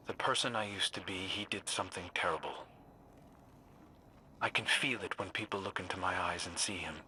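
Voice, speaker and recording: An actor playing a gentle, brooding amnesiac guardian in a video game, speaking softly and reflectively. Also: male voice, on the low side, slight background noise. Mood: earnest, vulnerable. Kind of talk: dramatic video game cutscene acting.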